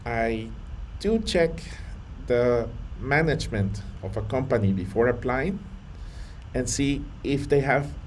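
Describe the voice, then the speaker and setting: Man, earnest to-camera vlogger, mid pitch, faint room noise. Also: lively intonation. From Colombia. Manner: earnest, measured, with fillers and restarts. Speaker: vlogger on data careers. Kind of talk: to-camera talk.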